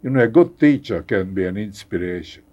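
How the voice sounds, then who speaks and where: An older man from Hungary with a Hungarian accent, speaking haltingly as a guest in a conversational interview, repeating words and chuckling wryly. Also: on the low side, animated, darkish tone, muffled recording. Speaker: guest scientist.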